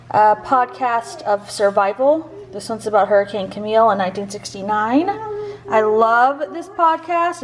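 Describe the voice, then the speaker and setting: A woman vlogging as a mother at home, talking casually in rambling, conversational asides. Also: on the high side. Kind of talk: casual vlog chat.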